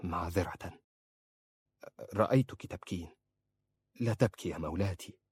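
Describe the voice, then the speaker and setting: A man voicing a gentle, loyal young man in an audiobook, speaking softly and hushed. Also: deep voice, moderate pace, slightly rough, no echo. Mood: tender. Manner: soft and hushed.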